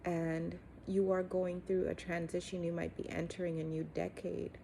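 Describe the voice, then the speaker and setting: Woman, middle-aged, mellow and fairly even in pitch, reflective faith-coach delivery, earnest and deliberate, slight background noise. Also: creaky. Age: 40s or 50s. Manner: earnest, encouraging, deliberate with frequent pauses. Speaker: coach and host giving encouragement. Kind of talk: reflective motivational talk with religious faith.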